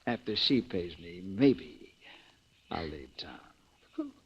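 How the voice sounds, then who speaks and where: Low-voiced menacing killer. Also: male voice, sing-song, slight background noise, heavily acted. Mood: coldly menacing. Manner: slow, taunting, menacing. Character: menacing killer stalker extorting a frightened woman. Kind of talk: old-time radio crime drama acting. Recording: muffled old radio recording.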